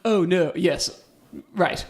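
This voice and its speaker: A man's voice: sits high, slow pace. A man playing a small, earnest animal guest who wants to act, with stiff formal wording. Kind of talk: improvised comedy podcast.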